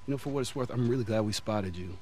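An actor playing a young American apocalypse survivor, protective and talkative, speaking informally and expressively in a video game. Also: male voice, on the low side, quick pace, a little mellow, a little hiss or hum, lightly acted. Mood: reassuring. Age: young adult. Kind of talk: naturalistic video game acting.

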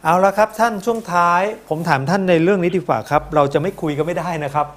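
Male current-affairs host, middle-aged, slightly high voice, polite studio interviewing. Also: quick pace. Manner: polite, frequent backchannel acknowledgements.

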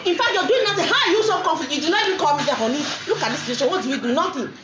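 A sky-high, swooping Nigerian wife who badgers and mocks her husband without letup, as a woman acts her out. Her shrill voice rings around an echoey room, with a dull, lo-fi sound and steady noise behind it. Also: rapid-fire. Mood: scornful. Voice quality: mocking, shrill and repetitive. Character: nagging, scornful young wife bullying her husband. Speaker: actor in a comedy skit.

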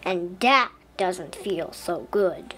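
A woman reading a children's story aloud in an expressive, deliberate storybook style. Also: lowish voice, faint music behind, low-quality recording. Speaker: children's book reader. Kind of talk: expressive scripted storybook narration as a young boy.

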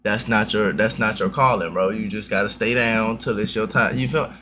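Brash young streamer with a bright voice. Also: male voice, on the low side, steady tone, normal speed, slight background noise. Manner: brash, profane, loose slang. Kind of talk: reaction commentary. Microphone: headset.

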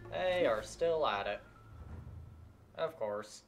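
A man's voice: medium pitch, animated, slow pace. A young adult man live-streaming a game, dropping brief, offhand, casual reactions. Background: game music and dialogue.

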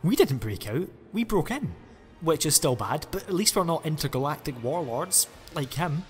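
Man as a quirky chatty time traveller, self-deprecating, lively pitch, long reverb, music behind. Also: moderate pace. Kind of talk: fan audio drama acting.

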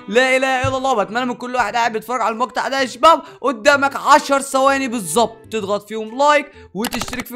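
A young man with an Egyptian accent commentating excitedly on Minecraft gameplay, animated and exclamatory as he addresses viewers. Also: very high-pitched. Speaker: gaming YouTuber and host. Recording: music playing behind the voice.

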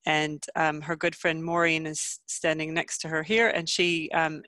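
A woman's voice: low voice, fairly bright tone, dry recording. A woman in her thirties, from Canada with a Canadian accent, giving a medical webinar from home over a video call, friendly and full of ums. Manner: friendly, many ums, self-deprecating. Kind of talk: medical lecture. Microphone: headset.

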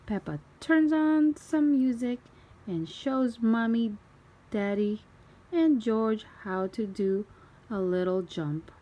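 A woman's voice: fairly high pitch, slow, muffled recording. A woman reading a children's storybook aloud expressively as a storytime host, acting out the dialogue. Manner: expressive, acts out dialogue and sound effects.

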